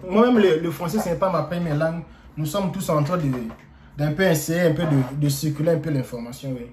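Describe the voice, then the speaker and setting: Man talk show host, calm and reassuring, hesitant, fairly high voice, echoey room. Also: a little fast, slight background noise.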